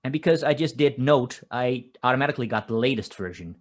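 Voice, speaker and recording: A man's voice: mid-range voice, fast. Dutch guy giving a conference talk from home, chatty, restarts his sentences a lot. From Netherlands. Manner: conversational, with frequent restarts and filler "uh". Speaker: conference speaker. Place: home office, construction noise outside.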